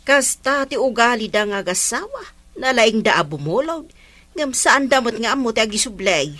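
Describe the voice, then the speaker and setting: An actress with an Ilocano Filipino accent playing a gossipy, dry shopkeeper with a drawn-out, knowing delivery in a radio comedy drama. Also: female voice, on the high side, lively intonation, bright, forceful tone.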